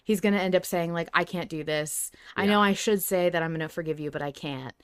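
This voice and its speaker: A woman's voice: fairly low pitch, a little fast, clean tone, no room sound, clean studio sound. A woman co-hosting a podcast, chatting casually and enthusiastically about a show she follows, with emphatic delivery. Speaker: podcast co-host. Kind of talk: casual fan discussion.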